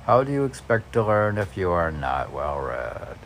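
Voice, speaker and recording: A man's voice: sits low, big pitch swings, normal speed, creaky, slight background noise. A man reading aloud and commenting informally, musing in a rambling way as if to himself. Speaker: podcast host reading and commenting on poems.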